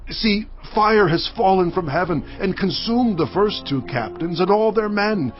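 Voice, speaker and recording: An actor playing a frightened army captain who begs slowly for his life. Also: sits high, animated. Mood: pleading. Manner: pleading, slow. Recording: muffled audio under music.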